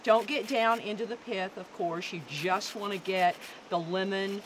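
A woman's voice: mid-range voice, steady tone, unhurried. A woman in her fifties from the American South, giving an upbeat, instructive cooking demonstration on an outdoor deck. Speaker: guest cook. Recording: outdoor background noise.

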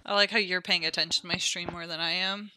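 A woman's voice: fairly low pitch, steady tone, quick pace, sharp tone, rough recording. A young woman streaming an online game, joking and swearing with friends. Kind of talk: casual gaming chat with friends.

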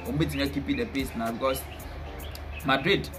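A young man with a Ghanaian accent discusses football casually as a pundit. His speech is halting, with words often repeated, and his pitch sits in the middle range. Music plays clearly behind him. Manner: halting, repetitive. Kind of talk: casual sports punditry, mixing English with a local language. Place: small bare indoor room, seated at a table.

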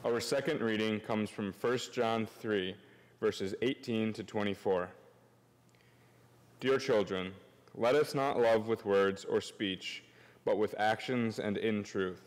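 A young man with a fairly low voice reads scripture at a church lectern. His delivery is formal, measured and solemn. The sound carries the long reverb of the sanctuary. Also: fairly even intonation. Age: young adult. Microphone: gooseneck lectern microphone.

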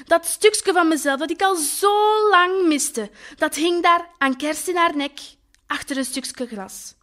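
High-pitched Flemish poppy flower, voiced by a woman. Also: fairly bright tone. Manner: colloquial, homely storytelling. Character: talking poppy flower, female, naive, wistful, chatty storyteller. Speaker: audio drama performer. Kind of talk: dramatic first-person monologue.

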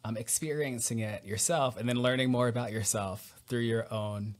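Encouraging podcast host on a headset. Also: male voice, mid-range voice, steady tone, normal speed. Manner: warm, encouraging, asks long questions. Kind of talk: conversational interview. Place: home room, on a video call. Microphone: over-ear headset.

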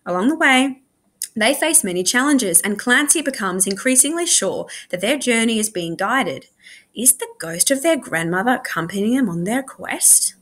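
A woman reads a story aloud with lively, swooping intonation. She sounds like a school librarian sharing a book, animated and expressive in her delivery. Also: on the high side, normal speed. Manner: animated, acts out dialogue.

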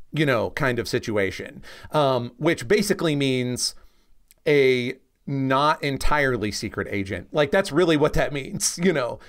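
Conversational man explaining as podcast co-host. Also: mid-range voice. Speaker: podcast co-host and comics expert. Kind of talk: casual explanatory discussion.

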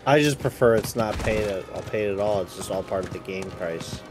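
A man's voice: fairly low pitch, brisk. A man streaming games, talking casually with his co-op partners and viewers. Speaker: game streamer. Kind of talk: casual livestream chat. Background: faint game music.

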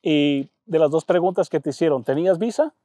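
A man's voice: a little high, fairly quick, mellow, dry recording. Conversational male baseball broadcaster. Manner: conversational, asks prompting follow-up questions. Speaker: Spanish-language baseball broadcaster, interviewer. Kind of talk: interview questions.

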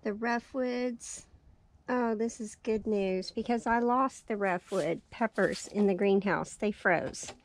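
A woman walking through her greenhouse, chatting cheerfully about her seedlings, her voice raspy and tired from lack of sleep. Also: fairly high pitch, no room sound. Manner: chatty, cheerful, thinking aloud. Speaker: farm vlogger. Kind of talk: casual walkthrough narration. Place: small greenhouse, indoors.

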